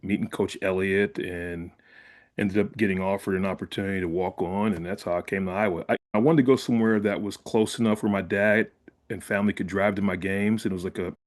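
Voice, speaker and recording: A man in his fifties from Ohio, a former college fullback, telling stories in a relaxed, reflective way as a guest in an interview. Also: deep voice, a little fast. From Columbus, Ohio. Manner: relaxed, reflective storyteller with frequent "like". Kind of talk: casual interview storytelling.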